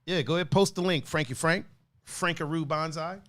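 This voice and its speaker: A man's voice: brisk, dry recording. A man hosting a podcast, chatting casually, reading out live comments and laughing often. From not from Cleveland. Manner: laid-back, laughs often, animated pitch swings. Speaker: podcast host. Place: podcast studio.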